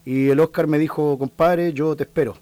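A man's voice: a little high, fairly even intonation, normal speed, darkish tone, no echo. A Chilean man in his thirties, a folk singer talking in a casual interview, hesitant and full of restarts. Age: 30s. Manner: hesitant, many restarts and fillers.

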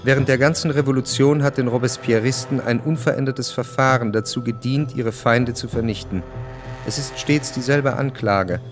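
A man narrates a radio play from a script. His voice is mid-pitched, measured and precise, with music clearly playing beneath it. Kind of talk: scripted radio drama narration in present tense. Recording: music behind the voice.

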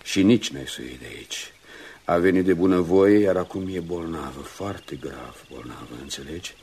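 Deep-voiced, weary, resolute doctor. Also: male voice, lively intonation, a little mellow, slightly rough, full character voice. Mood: firm, defiant. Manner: grave, low-key, firm. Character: weary, resolute male doctor guarding his gravely ill patient. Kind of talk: Romanian radio drama acting. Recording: noisy old radio drama recording.

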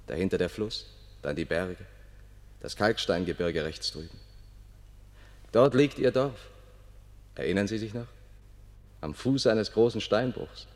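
An actor playing a solemn, deferential guide to a ruler in a German radio play, speaking in a measured, formal way. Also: male voice, low-pitched, natural voice. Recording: radio play with long reverb.

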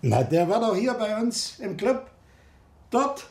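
An older male club hobbyist in a radio play. His voice sits fairly high for a man and is full of creak. He talks in a chatty, colloquial way with strong opinions and small asides. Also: big pitch swings, takes it slowly, a little mellow, full character voice. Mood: confiding, bitter. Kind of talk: naturalistic radio drama acting.

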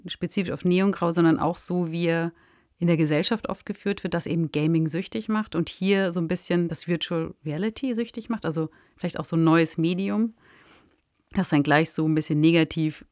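Woman guest, lowish voice, dry sound. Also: normal speed, darkish tone, no echo. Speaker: podcast guest. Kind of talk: conversational discussion.

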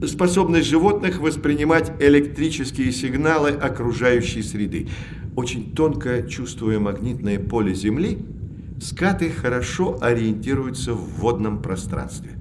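An actor playing a learned professor who explains things articulately to children in an educational audio drama. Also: male voice, medium pitch, expressive, normal speed, noisy background, lightly acted. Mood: explanatory. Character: learned male professor guiding children on sea voyage.

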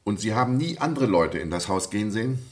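An actor playing a brusque, authoritative French police prefect who questions witnesses curtly in a German radio play. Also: male voice, lowish voice, animated, quick pace, close to an everyday voice. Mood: curt, businesslike. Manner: curt, commanding, interrogating.

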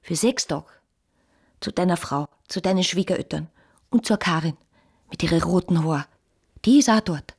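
A woman in love speaks in a radio play with a low, husky voice. She is earnest and intimate, pressing her questions insistently. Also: moderate pace, long pauses, darkish tone. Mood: probing, needling. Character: earnest, probing woman in love with a married man. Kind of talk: German-language radio play acting.

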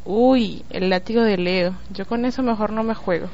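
A woman's voice: mid-range voice, moderate pace, darkish tone, lo-fi sound, lightly acted. A woman voicing a mischievous young female prefect with sarcastic, teasing quips.

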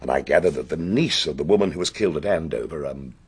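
An actor playing a brisk, impatient, well-to-do English gentleman in a radio drama, forthright and clipped, with an English accent. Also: very deep voice, husky, full character voice. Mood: eager, businesslike.

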